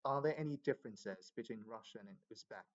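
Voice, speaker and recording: A man's voice: medium pitch, fairly quick, lo-fi sound. A man from Myanmar, chatting casually in English with many fillers. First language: Burmese. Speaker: English learner. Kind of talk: casual video chat.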